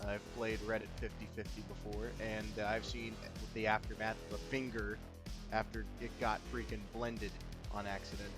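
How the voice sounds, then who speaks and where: A man hosting a podcast in a casual chat, joking and upbeat, his voice a bit hoarse. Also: on the low side, little pitch movement, normal speed, over music. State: slightly hoarse. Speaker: podcast host.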